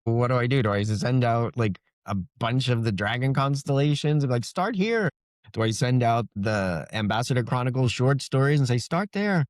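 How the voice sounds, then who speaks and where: A man's voice: medium pitch, expressive, moderate pace, no room sound, clean studio sound. A man speaking as an author guest in a casual interview, earnest and reflective. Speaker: guest, indie fantasy author.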